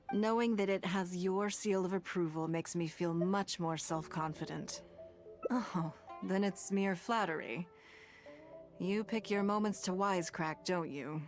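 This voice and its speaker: A woman voicing a wealthy, shrewd, self-assured noblewoman in a video game, speaking in a measured, formal way with calm, teasing poise. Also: on the low side, close to an everyday voice. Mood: warm, teasing. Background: game background music.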